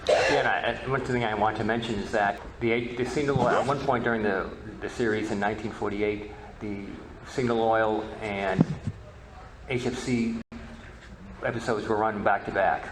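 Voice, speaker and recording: Guy doing a history talk, kinda deep, stumbles and restarts a lot, roomy echo. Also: steady tone. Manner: halting, repeats and rephrases words. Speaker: presenter, media researcher. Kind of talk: informal history lecture. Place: indoor presentation room. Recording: echoey room, faint background noise.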